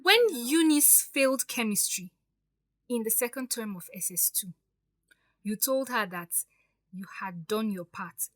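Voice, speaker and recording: A high, bright-voiced young Nigerian stepdaughter played by an actress in an audio drama. She is wounded but defiant, pressing every point firmly in a close, dry recording. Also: measured pace, no room sound. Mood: wounded, reproachful. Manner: emphatic, pleading yet firm. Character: hurt, defiant young stepdaughter standing up for herself.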